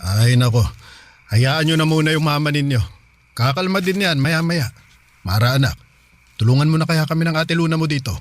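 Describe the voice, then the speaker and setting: A man's voice: on the high side, lively intonation, normal speed, pauses often, close to an everyday voice. A man acting as a patient, easygoing middle-aged father in a radio drama, mild and placating.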